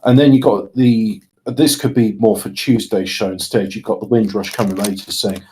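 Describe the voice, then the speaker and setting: A man's voice: fairly low pitch, steady tone, normal speed, darkish tone, a little hiss or hum. A man co-hosting a podcast in casual conversation, explaining his views and acting out imagined quotes as he goes. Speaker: podcast co-host.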